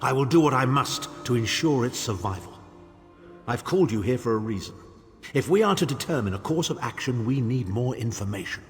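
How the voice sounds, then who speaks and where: A haughty fantasy-game nobleman, acted out by a man, who speaks with pompous disdain and scheming self-importance. His voice rings in a long reverb over clear background music. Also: medium pitch, natural voice. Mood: grave, proud. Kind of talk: theatrical fantasy game dialogue.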